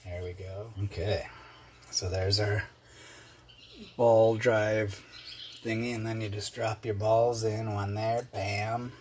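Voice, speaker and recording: A middle-aged man with a low voice rambles through a repair in his cluttered garage, full of fillers and asides. He talks off to the side of the camera mic while his hands work, and a faint hum of shop noise sits behind him. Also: level tone, slow pace, pauses often. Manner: rambling, chatty, self-deprecating with fillers. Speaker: garage video host. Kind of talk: casual workshop how-to narration. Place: cluttered home workshop garage.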